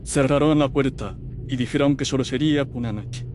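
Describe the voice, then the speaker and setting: A man's voice: mid-range voice, a little fast, noisy background, natural voice. A man voicing the mournful, bodiless ghost of abandoned children in a horror story, speaking in a solemn cadence. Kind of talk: horror audio story acting.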